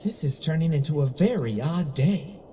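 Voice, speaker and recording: A woman's voice: deep voice, lively intonation, normal speed, dark tone, a little hiss or hum. A woman voicing a small, dry-witted, touchy male robot in a motion comic, wry and put-upon. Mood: bemused. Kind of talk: motion comic character acting.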